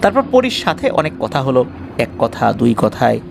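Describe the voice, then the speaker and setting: A man's voice: medium pitch, very animated, moderate pace, vocal fry, noisy background. A man narrating a scripted first-person ghost story in a dramatic way.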